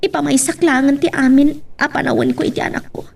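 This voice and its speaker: Woman acting high-pitched, sorrowful Ilocano daughter. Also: fairly even intonation, brisk, vocal fry, darkish tone, far from the mic. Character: sorrowful dutiful grown daughter torn by love. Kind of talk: Ilocano audio drama acting.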